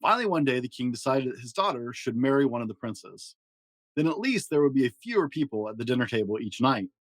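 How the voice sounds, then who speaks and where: A man narrating a children's story aloud in an expressive, animated way with dramatic pauses. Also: medium pitch, dry recording. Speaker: podcast host reading a children's storybook aloud.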